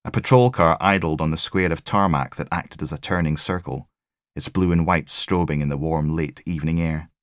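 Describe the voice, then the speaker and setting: A man's voice: very deep voice, normal speed, no echo, studio quality. A man reading a crime thriller as scripted audiobook narration.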